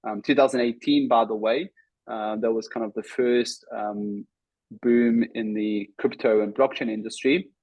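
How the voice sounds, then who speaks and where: A man with a South African accent talks in short bursts, filling the gaps with ums and uhs. His voice sits at a middling pitch for a man. The sound is dry and close, with no room around it. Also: steady tone, unhurried, no room sound, dull audio. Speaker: guest, startup CEO. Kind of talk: casual interview talk.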